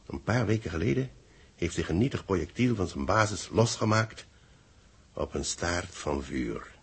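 A man's voice: sits low, breathy edge, heavily acted. A Dutch actor playing a grave senior man who declaims space bulletins solemnly in a 1960s radio drama. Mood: grave wonder. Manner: solemn, theatrical, deliberate declamation.

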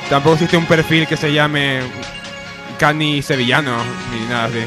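Higher-pitched Spanish man bantering over music. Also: normal speed. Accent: Spanish, from Spain. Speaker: radio show co-host. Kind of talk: casual comedic group banter. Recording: music bed behind voice. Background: background music.

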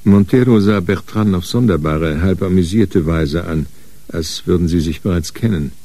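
A man narrating a crime radio drama in a measured, dry storytelling manner. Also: low voice, warm tone, dry recording. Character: sober male narrator of a detective story.

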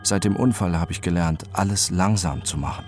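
An actor playing a detached accident survivor who narrates his own story deliberately and precisely in a radio drama. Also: deep voice, a little mellow, close to an everyday voice. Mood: numb, precise. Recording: music under the voice.

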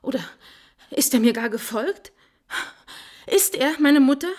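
Panicked young daughter, radio drama, innocent, breathless delivery in a very high register. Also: female voice, a little slow, a little mellow. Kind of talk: 1950s German radio drama acting.

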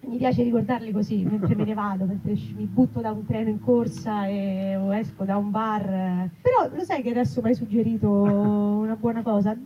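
A woman's voice: mid-range voice, fairly even intonation, moderate pace, few pauses. A woman speaking conversationally as a writer and actress presenting her book at a live event. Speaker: writer and actress, festival guest. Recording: slightly muffled live event, faint noise.

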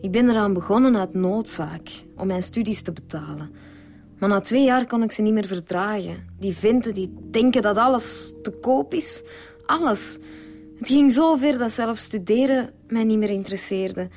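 A woman's voice: mid-range voice, normal speed, a little mellow, natural voice. Guarded young woman played by an actress, music behind. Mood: bitter. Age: young adult. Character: guarded young woman, former escort, under questioning. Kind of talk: radio drama acting.

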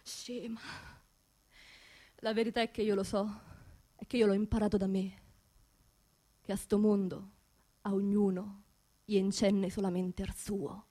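A woman's voice: mid-range voice, level tone, measured pace, long pauses, warm tone, natural voice. An actress playing an anxious, grieving working-class woman in a theatrical radio monologue, with a Roman accent and an emotional, confiding manner. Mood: resigned, hushed. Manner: emotional, confiding, repeats words insistently.

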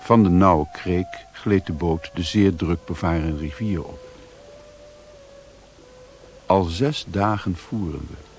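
A sober, reflective adventurer narrates his journey for a radio drama. The voice is low and measured, with clear tape hiss on the old recording. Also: a little mellow. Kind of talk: scripted first-person radio play narration.

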